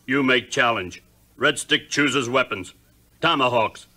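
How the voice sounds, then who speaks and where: A man playing a belligerent war chief in a 1950s audio adventure, speaking in terse, halting, stock broken English. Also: medium pitch, bright, forceful tone. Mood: scornful, threatening. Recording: 1950s record with music behind.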